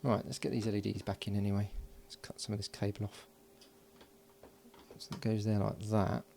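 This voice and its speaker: A man talking himself through a hands-on electronics project, halting and thinking aloud with frequent restarts. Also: lowish voice, little pitch movement, gravelly, a little mellow, slight background noise. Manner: halting, muttering, many restarts and fillers. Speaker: electronics hobbyist.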